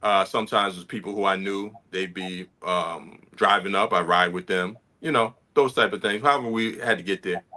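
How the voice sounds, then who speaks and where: A man in his sixties with a bright, forceful voice and a fairly low pitch talks loosely and unhurriedly. He keeps checking in with his listener as he goes. He speaks over a home video call. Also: normal speed, hardly any pauses. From Connecticut. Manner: laid-back storytelling, often asks "you know what I mean". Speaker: guest hip hop artist. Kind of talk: casual interview chat.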